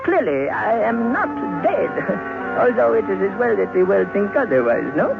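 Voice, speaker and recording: An actor playing a menacing little murderer in old radio horror, fussy, halting and over-polite. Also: male voice, high-pitched, big pitch swings, creaky, full character voice. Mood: smug, confiding. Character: menacing little French murderer, suavely polite, gleefully sinister. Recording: muffled vintage radio recording, music behind.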